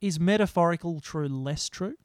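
A man's voice: a little high, moderate pace, darkish tone, dry recording, studio quality. A man hosting an interview, asking thoughtful, searching questions. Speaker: podcast host.

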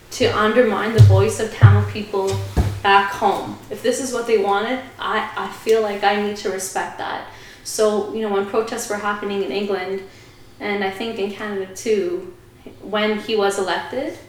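A woman's voice: mid-range voice, a little hiss or hum. A woman speaking as a podcast guest, giving earnest, reflective opinions in conversation. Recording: very echoey room.

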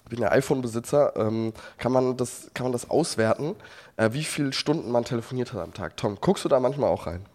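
Hoarse man with a cold, playful. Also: medium pitch, fairly quick, a little mellow. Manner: playful, hesitant, lots of fillers. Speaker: podcast co-host. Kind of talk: casual phone chat. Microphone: phone call.

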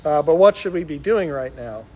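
A man's voice: fairly high pitch, lively intonation, normal speed, muffled recording. A middle-aged man from Wisconsin, a Benedictine monk, giving an informal spiritual conference to oblates, talking conversationally with frequent ums and uhs.

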